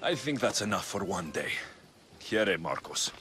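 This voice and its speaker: A grumbling young mercenary in a video game, sharp edged and animated, wry, fed up. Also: male voice, low-pitched, unhurried, a little hiss or hum, exaggerated voice. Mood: weary, resigned. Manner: blunt, sardonic, grumbling. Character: wry, exasperated young male mercenary, blunt and put-upon. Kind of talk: video game cutscene acting.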